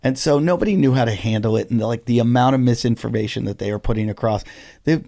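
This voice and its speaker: A middle-aged man, a stand-up comedian, telling stories in a casual podcast chat, animated and swearing freely. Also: mid-range voice, fast talker, gravelly. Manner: animated storytelling, heavy swearing.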